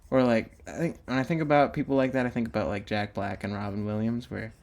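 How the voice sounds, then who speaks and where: A man's voice: mid-range voice, fairly even intonation, fast, darkish tone. A young man talking casually while drawing for his own video channel, meandering with many fillers and restarts. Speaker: drawing YouTuber.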